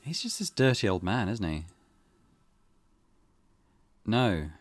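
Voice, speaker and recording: A man's voice: lowish voice, big pitch swings, takes it slowly, no room sound. A man hosting a let's play, giving casual, wry commentary and reading game narration aloud. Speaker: gaming video host. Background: game music.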